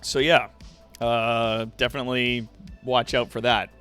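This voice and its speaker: A man livestreaming in casual chat with viewers, thinking aloud in loose, halting phrases. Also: mid-range voice, level tone, pushed and bright, smooth, faint music behind.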